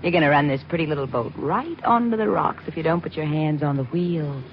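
A woman's voice: sits low, fairly quick. An actress playing a miffed, flirtatious woman on a date, wry and complaining, in 1940s radio acting. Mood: teasing. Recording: dull noisy 1940s radio recording.

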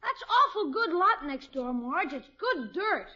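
An eager, scrappy kid in a vintage radio comedy. The voice is extremely high and swoops freely, with a dull old-broadcast sound. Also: female voice, big pitch swings, unhurried, sharp tone, exaggerated voice. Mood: eager persuasion. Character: eager scrappy young boy, cheeky nephew. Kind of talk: 1950s radio comedy acting. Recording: dull 1950s radio recording.

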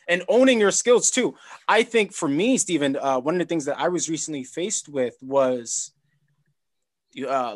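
A man's voice: fairly high pitch, fairly bright tone. A man hosting an interview podcast, talking in a rambling, conversational way and circling back on his words. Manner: rambling, conversational, repeats himself. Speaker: podcast host. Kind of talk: casual interview chat.

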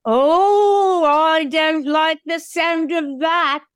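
A woman playing an excitable, theatrical show dog in an audio play, with a gushing, dramatic manner. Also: extremely high pitch, very slow, exaggerated voice. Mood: wry.

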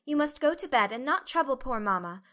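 A woman's voice: high-pitched, animated, brisk, bright, forceful tone, voice pushed up. A woman voicing an affectionate, coaxing young wife and mother for a storybook reading. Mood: mildly exasperated.

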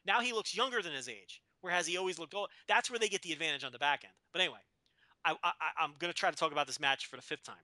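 High bright-voiced man in a dry room. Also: racing, dry recording. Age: 30s. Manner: joking, talks over his partner, laughs often. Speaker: podcast co-host. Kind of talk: casual wrestling chat.

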